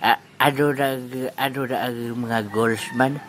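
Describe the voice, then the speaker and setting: A man playing a boisterous, excitable customer in an amateur audio drama, exclaiming and stammering. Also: mid-range voice, unhurried, a little hiss or hum, natural voice.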